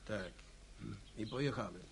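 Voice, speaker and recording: An actor playing a modest, plain-spoken village veteran telling his story without hurry in a radio drama, his voice husky. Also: lowish voice, measured pace. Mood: reminiscing.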